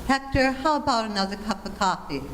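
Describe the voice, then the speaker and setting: A woman's voice: fairly high pitch, lively intonation, brisk, background noise, close to an everyday voice. An older amateur actress playing a wry, sensible wife and mother in an old-time radio comedy. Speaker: amateur actor in a senior theater class.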